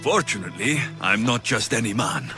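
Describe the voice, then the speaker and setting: Guy voicing cocky blunt greek mercenary in a game, wry, very animated pitch, music under it. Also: normal speed, close to an everyday voice. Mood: dry, confident. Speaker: video game lead character. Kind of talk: dramatic game cutscene acting.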